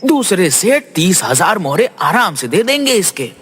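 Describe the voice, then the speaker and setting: A man's voice: high-pitched, big pitch swings, moderate pace, a bit bright, breathy edge, heavily acted. A man playing a cunning, boastful young farmer in a Hindi moral story, talking in a glib, persuasive way. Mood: sly, persuasive.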